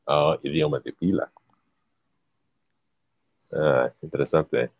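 Male host, native English speaker, learner's Spanish: very deep, gravelly, slow, hesitant, lots of fillers. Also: no room sound, muffled recording. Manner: halting, searching for words, many fillers. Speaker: podcast host. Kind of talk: casual chat, learner's Spanish.

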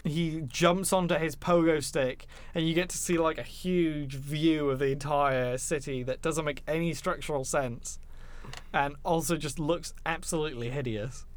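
A man's voice: on the high side, steady tone, moderate pace. A man hosting a podcast, recapping a film in a casual, conversational style. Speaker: podcast host.

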